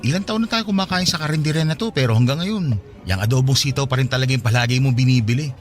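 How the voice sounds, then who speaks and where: An actor playing a persistent, teasing young boyfriend in a radio drama, with a conversational, questioning way of talking. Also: male voice, mid-range voice, quick pace, natural voice. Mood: teasing, casual. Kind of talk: Tagalog radio drama acting. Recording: music behind the voice.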